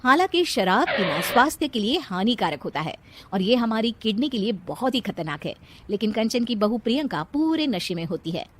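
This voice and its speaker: High-pitched woman narrating a cartoon story. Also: very animated, moderate pace. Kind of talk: scripted children's story narration.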